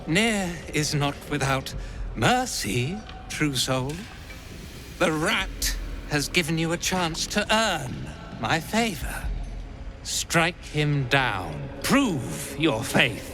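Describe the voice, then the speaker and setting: A man's voice: fairly high pitch, heavily acted. A man playing an arrogant, cruel slaver lord in a video game, haughty and theatrical. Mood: cold, vexed. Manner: haughty, slow, theatrical, speaks of himself in third person. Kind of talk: video game villain acting. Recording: music behind the voice.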